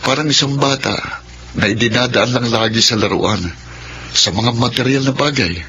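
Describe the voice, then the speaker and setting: A man in his twenties playing a neglected, earnest son, polite and quietly resentful, in a Filipino radio drama. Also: mid-range voice, moderate pace, a little hiss or hum, close to an everyday voice, rough recording. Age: 20s. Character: neglected, earnest twenty-year-old son of wealthy father.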